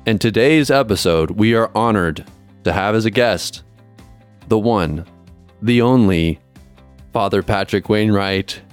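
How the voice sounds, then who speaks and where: Low-voiced podcast co-host, faint music. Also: male voice, clear, resonant tone. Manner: friendly, halting with fillers. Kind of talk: podcast interview.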